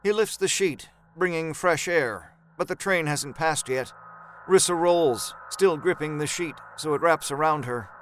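A man narrating a horror story in a measured, suspenseful, dramatic storytelling style. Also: fairly high pitch, a bit bright, slight background noise.

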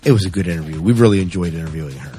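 A man's voice: sits low, animated, rapid-fire, warm tone. Male podcast host chatting over music. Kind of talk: casual podcast chat.

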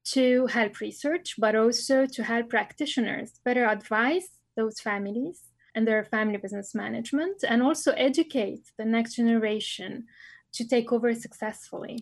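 A woman's voice: a little high, moderate pace, creaky, no room sound. A middle-aged woman from Lebanon, a family business scholar, answering interview questions thoughtfully with frequent fillers and restarts.

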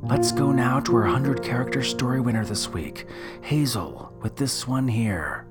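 Male podcast host over loud guitar music. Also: mid-range voice, measured pace. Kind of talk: scripted, folksy podcast hosting.

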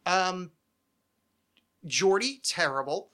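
A man's voice: high voice, lively intonation, slow, bright, forceful tone. A man in his thirties hosting a podcast, chatting casually in a rambling, freely sweary way. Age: 30s. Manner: rambling, digressive, freely profane. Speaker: podcast host. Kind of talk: casual chat about television.

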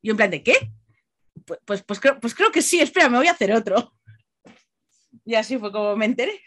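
A woman's voice: on the high side, a little fast. A woman from the Basque Country, telling personal stories casually as a podcast guest, acting out bits of dialogue. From Basque Country, Spain. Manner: chatty, animated retelling with quoted dialogue.

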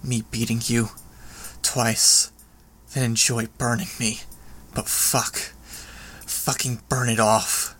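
A man's voice: medium pitch, little pitch movement, takes it slowly, long pauses, a bit bright. A man voicing an injured, defiant young woman who is short of breath in an audiobook. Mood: pained, defiant.

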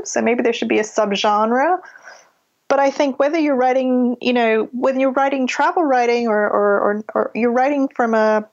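Woman w mixed british canadian accent, bit high voice, muffled lo-fi sound, thinks out loud. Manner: thoughtful, many restarts. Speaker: writer guest. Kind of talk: interview conversation. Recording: dull lo-fi audio.

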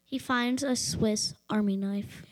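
A woman's voice: medium pitch, takes it slowly, natural voice, rough recording. A young girl playing the storyteller, reading scripted narration for an amateur radio mystery. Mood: narrating. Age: child or teenager.

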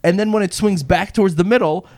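A man's voice: high-pitched, quick pace, a little mellow, no echo, clean studio sound. A young man co-hosting a podcast, congested from illness, joking crudely and arguing back in casual banter. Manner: crude, self-deprecating, argumentative. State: congested, recovering from covid. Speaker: podcast co-host.

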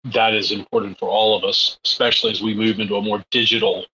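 Man, from the US, tense bright tone, friendly and halting, dull lo-fi recording. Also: on the low side, flat delivery. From United States. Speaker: copywriter. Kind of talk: casual panel chat. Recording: dull lo-fi mic with faint noise.

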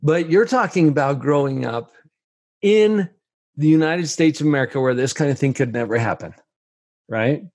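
A man's voice: fairly high pitch, animated, normal speed, darkish tone, no room sound. A male host asking long, framing questions in a conversational way. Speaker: interviewer, host. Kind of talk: interview questions.

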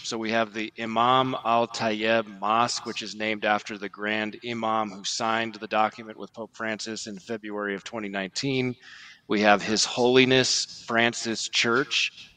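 A man's voice: on the low side, bright, forceful tone, low-quality recording. A man speaking as a podcast guest, giving conversational, explanatory commentary.

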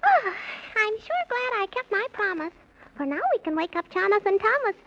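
A tiny, cheerful squirrel fellow with an extremely high, squeaky voice that bounces all over. He is eager and scatterbrained, speaking in rhyme on a muffled old children's radio show with faint noise. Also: moderate pace, exaggerated voice. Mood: gleeful. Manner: giggly, excitable rhyming. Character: small eager cheerful male squirrel, good-natured, scatterbrained. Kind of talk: 1930s children's radio acting. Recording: muffled, faintly noisy 1930s radio recording.

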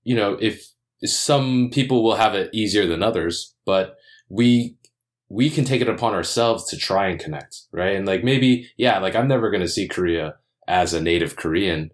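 Very deep-voiced earnest man. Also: moderate pace. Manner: earnest, hesitant with fillers. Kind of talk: casual discussion.

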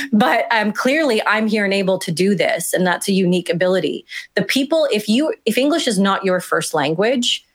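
A woman author being interviewed, talking in an animated, emphatic way with small fillers. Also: lowish voice. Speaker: author and guest. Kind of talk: conversational interview answers.

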